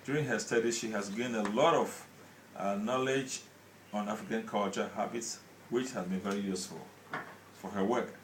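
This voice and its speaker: A man's voice: fairly low pitch, normal speed, roomy sound, a little hiss or hum, poor audio. A man hosting a radio interview, reading an introduction with many hesitations and fillers. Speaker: radio show host.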